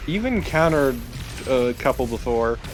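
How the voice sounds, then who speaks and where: A man's voice: medium pitch, lively intonation, a little slow, music in the background. A man co-commentating on a video game in a casual, wry, teasing way. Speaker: co-commentator watching the gameplay. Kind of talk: casual gaming chat.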